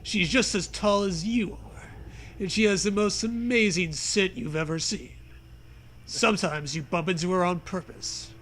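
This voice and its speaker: A man's voice: high voice, a little slow, fairly bright tone, slight background noise. A man hosting a comedy show, reading parody fiction aloud in a mock-dramatic way, often cracking up. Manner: mock-dramatic, breaks into laughter while reading. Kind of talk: comic read-aloud of parody fiction with banter.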